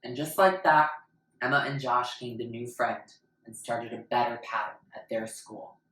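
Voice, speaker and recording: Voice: mid-range voice, moderate pace, fairly bright tone. A narrator reading a children's story aloud in a dramatic storytelling style. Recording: roomy, far from the mic.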